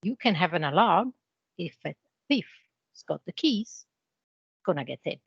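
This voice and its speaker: A woman with an Italian accent, a guest in a business interview, explaining with homely comparisons in a measured way. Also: on the low side, lively intonation, gravelly, breathy edge, no echo, muffled recording. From Italy. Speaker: interview guest, channel manager.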